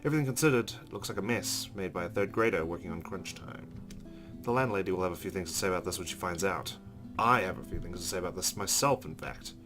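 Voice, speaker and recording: A man with a lowish voice hosts a let's play. He reads the game's narration aloud and drops in casual asides, with faint music underneath. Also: fast talker.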